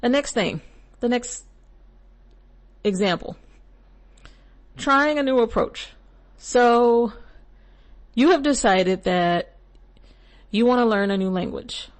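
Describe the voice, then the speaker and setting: A woman's voice: fairly high pitch, takes it slowly, pauses often. Chatty young woman podcast host. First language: English. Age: young adult. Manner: chatty and rambling, with frequent asides and laughs. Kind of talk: casual spiritual advice monologue.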